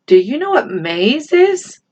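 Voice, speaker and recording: Woman playing schoolboy, earnest, hopeful, emphatic, storybook dialogue, measured pace, slightly dull recording. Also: high voice, big pitch swings, a little mellow, pitched above the performer's own voice, heavily acted. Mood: hopeful, hesitant.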